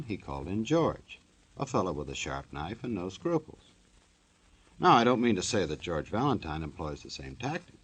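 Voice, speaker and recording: An actor playing a wry, joking storyteller who narrates a 1950s radio drama in a conversational way. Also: male voice, low-pitched, husky, full character voice.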